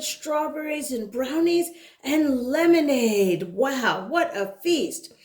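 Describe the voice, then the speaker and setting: A woman reading a storybook aloud to young children, expressive and full of exclamations, with chatty asides to her listeners. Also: on the high side. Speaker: children's storybook reader and channel host. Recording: roomy, echoing sound.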